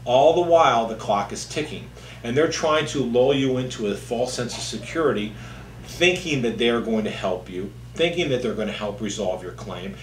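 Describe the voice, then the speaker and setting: A man in his fifties, a lawyer, explaining things to camera in a halting, conversational way in an office. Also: medium pitch. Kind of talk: informal legal explainer to camera. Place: indoor office, seated at a distance from the camera. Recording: echoey room with faint hum.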